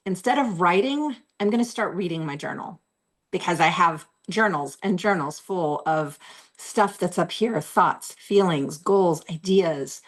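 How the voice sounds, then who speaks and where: A woman in her forties, slightly hoarse, giving an earnest, encouraging self-help talk to camera from a room at home, repeating her key phrases. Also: on the low side, expressive, unhurried. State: losing her voice. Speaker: host.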